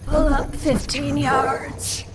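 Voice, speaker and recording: An eerie, bodiless female presence for an audio drama. Her whispery, breathy voice is high and drags slowly. Clear night noise surrounds it. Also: level tone. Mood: eerie, languid.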